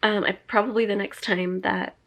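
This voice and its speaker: A woman's voice: medium pitch, fairly even intonation, moderate pace, gravelly, a bit bright. A young woman vlogging to camera in a chatty, rambling way, a little stuffy from a cold and laughing often. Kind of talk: casual talking-to-camera vlog.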